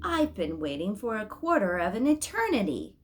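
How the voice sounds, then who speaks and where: Lady reading as a little boy angel from a storybook, excitable and proud, really animated. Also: mid-range voice, normal speed, close to an everyday voice. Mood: impatient. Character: small excitable child angel, boyish and proud.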